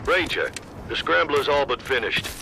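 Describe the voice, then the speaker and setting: An actor playing a folksy, easygoing but determined resistance ally in a video game, speaking in casual phrases. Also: male voice, mid-range voice, lively intonation, moderate pace, gravelly, heavy background noise. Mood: casual, coaxing.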